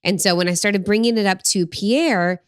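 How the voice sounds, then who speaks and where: A woman's voice: medium pitch, normal speed, smooth, dry recording, clean studio sound. A woman in her thirties co-hosting a podcast, talking in an upbeat, chatty way and laughing easily. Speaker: podcast co-host. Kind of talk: casual chat.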